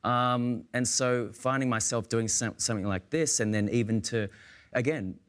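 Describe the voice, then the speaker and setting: An Australian man, an actor on a roundtable, speaking in a searching, halting way with many restarts. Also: mid-range voice, clean tone. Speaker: actor guest. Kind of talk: roundtable conversation.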